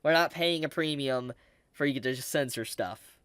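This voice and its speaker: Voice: mid-range voice, normal speed, fairly bright tone, smooth, no echo. Dry close-sounding anime commentator, emphatic and unscripted. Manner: emphatic, repeats points for stress.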